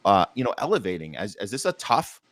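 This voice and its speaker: A man's voice: on the low side, sing-song, fast, fairly bright tone, dry recording. An American man hosting an arts community show, chatting informally and enthusiastically and making announcements. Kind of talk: casual chat with announcements.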